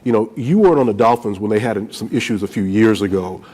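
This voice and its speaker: A man's voice: on the low side, expressive, fast, dark tone. A man moderating a live panel discussion in an informal, conversational way.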